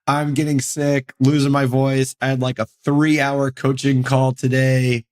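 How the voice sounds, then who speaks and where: A man in his thirties hosting a solo podcast, hoarse and losing his voice, talking in a candid, rambling way. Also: medium pitch, little pitch movement, unhurried, darkish tone. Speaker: podcast host.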